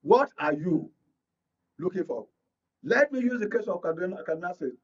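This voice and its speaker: A Nigerian man with a fairly high voice speaks as a guest analyst in a political discussion. He lays out his points in an explanatory, deliberate way. The sound is slightly dull and lo-fi. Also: animated. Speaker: media analyst, panel guest.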